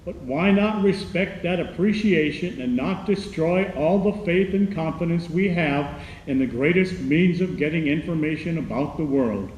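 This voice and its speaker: A man's voice: fairly high pitch, normal speed, darkish tone, natural voice. An actor playing an earnest, disappointed male radio listener making a declamatory plea in a docudrama. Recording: echoey hall, faint noise.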